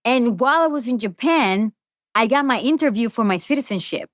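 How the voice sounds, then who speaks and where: A woman's voice: a little high, lively intonation, brisk, no room sound, studio quality. A middle-aged woman with a Venezuelan accent, a native Spanish speaker, talking casually and at length as a podcast guest. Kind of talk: casual interview conversation.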